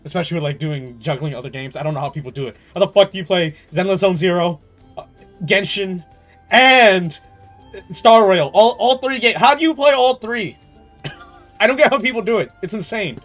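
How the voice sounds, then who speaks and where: A man with a fairly high-pitched voice streams casual gaming commentary. He is slangy and animated, sounding exasperated as he rambles. Music plays softly behind him. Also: moderate pace. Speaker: game streamer.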